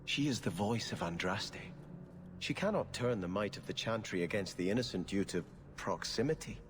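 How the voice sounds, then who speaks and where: A man's voice: on the low side, normal speed, natural voice. Man as an earnest devout believer, echoing hall. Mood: earnest concern. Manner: earnest, formal, pleading. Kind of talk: fantasy video game acting. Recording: long hall reverb, faint noise.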